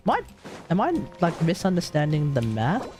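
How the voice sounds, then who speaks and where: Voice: medium pitch, sing-song, moderate pace. An Australian streamer giving casual gaming commentary, muttering to themselves and mildly swearing as they go. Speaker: livestream host, gamer. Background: video game music.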